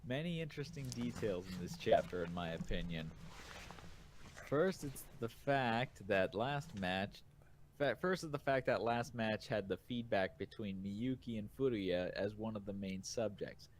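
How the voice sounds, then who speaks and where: Lowish-voiced man reading comments haltingly. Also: expressive, a little hiss or hum. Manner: halting reading, rephrasing as he goes. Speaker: podcast co-host reviewing manga. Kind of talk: casual chat, reading listener comments aloud.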